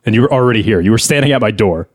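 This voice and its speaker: A man's voice: on the low side, lively intonation, rapid-fire. A man hosting a podcast in casual comedic banter, sounding grumbling and exasperated. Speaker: podcast host.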